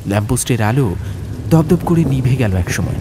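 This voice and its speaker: A man narrating a horror audiobook as a storyteller, with suspenseful, atmospheric delivery and deliberate phrasing. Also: medium pitch, expressive, background noise.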